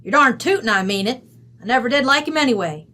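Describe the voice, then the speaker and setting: An actress as a brash matron from a radio play. Her voice is bright and forceful and sits fairly high. She talks in blunt slang and scolds like someone who will take no nonsense. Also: expressive, fast talker. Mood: combative, scornful. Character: brash, tough-talking American mother, a feisty chateau owner. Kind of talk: old-time radio drama acting.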